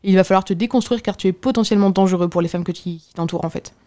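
A woman's voice: mid-range voice, dark tone. A young adult woman in casual discussion, blunt and emphatic, often cutting in. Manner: blunt, emphatic, quick to cut in.

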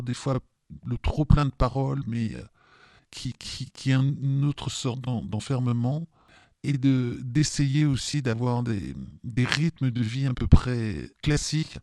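A man in his fifties gives a spontaneous personal testimony. His voice is soft-edged and mellow, recorded very dry. He hesitates and often repeats words while finding his phrasing. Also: mid-range voice, dry recording. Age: 50s. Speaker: testimony guest with lived experience of depression.

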